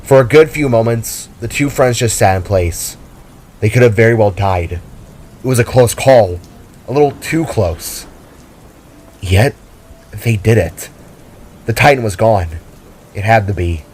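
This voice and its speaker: A man's voice: lowish voice, measured pace, long pauses, a little mellow, breathy edge. A man reading scripted, dramatic story narration. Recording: music and rain under the voice. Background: music, rain and thunder.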